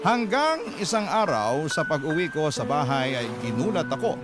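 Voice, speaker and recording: Loud music plays under a Filipino man telling a dramatic story in the first person. His voice sits a little high for a man as he narrates. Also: very animated, moderate pace.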